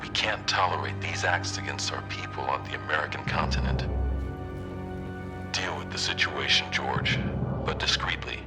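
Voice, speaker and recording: A weighty older president with an extremely deep voice. He gives orders gravely and with measured authority, as in a game cutscene, over clear background music. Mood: grave, commanding. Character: stern, weighty older male president giving covert orders. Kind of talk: video game cutscene acting.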